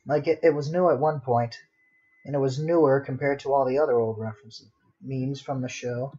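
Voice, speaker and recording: A man's voice: mid-range voice, steady tone, normal speed, warm tone, husky. A man casually chatting on a livestream while he draws, muttering to himself in a self-deprecating way. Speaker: livestreaming artist and host. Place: at home with a dog nearby. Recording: dull lo-fi home stream audio.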